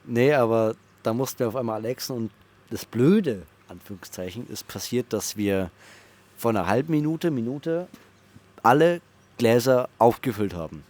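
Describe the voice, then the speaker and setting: Young bavarian dude cracking deadpan jokes, easy chat. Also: male voice, mid-range voice, moderate pace. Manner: joking, deadpan teasing. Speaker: podcast co-host. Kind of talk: casual banter.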